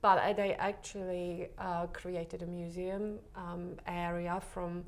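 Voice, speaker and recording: A woman speaks in a fairly low, level voice that barely rises or falls. She talks softly and hesitantly, pausing often and filling gaps with small sounds, as a guest in a relaxed interview. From Czech Republic. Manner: soft, hesitant, many pauses and fillers. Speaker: photographer guest.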